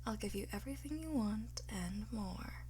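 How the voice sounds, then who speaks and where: A woman playing a cocky, flirtatious art thief in an ASMR roleplay, teasing and smug, often slipping into breathy whispers. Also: medium pitch, expressive, normal speed, warm tone, slight background noise, close to an everyday voice. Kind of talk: ASMR roleplay, one-sided acted dialogue.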